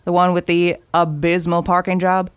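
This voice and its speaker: Woman acting low-voiced, folksy, bossy older warden. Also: flat delivery, normal speed, clear, resonant tone, no room sound, lightly acted. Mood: firm, instructing. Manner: folksy, commanding, colloquial. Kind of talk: audio drama acting.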